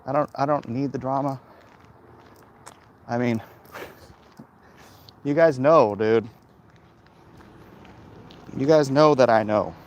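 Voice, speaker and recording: A middle-aged man in an unhurried, halting walk-and-talk, speaking at a medium pitch. He is recorded outdoors, with faint ambient and vehicle noise behind him. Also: dull audio. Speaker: comic book creator vlogging. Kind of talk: casual walk-and-talk monologue. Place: outdoors, walking along a hilly route.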